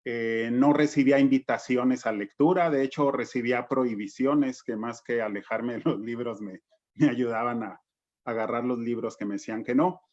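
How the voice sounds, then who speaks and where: A man's voice: mid-range voice, fairly even intonation, normal speed, a little mellow. A man with a Mexican accent speaking personally and reflectively as a panelist. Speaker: panelist, reading promoter. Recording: dull lo-fi video call audio.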